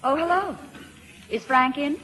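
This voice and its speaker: A woman's voice: high-pitched, measured pace, bright, forceful tone, a little hiss or hum. A woman playing a cool, curious sophisticate with an arch, polite manner in a 1940s radio drama.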